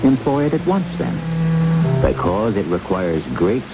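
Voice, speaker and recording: A man hosting and narrating a vintage radio mystery in a deliberate, measured, theatrical way, on an old broadcast. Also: on the low side, big pitch swings, rough recording. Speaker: radio drama host and narrator. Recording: old radio broadcast under music and rain.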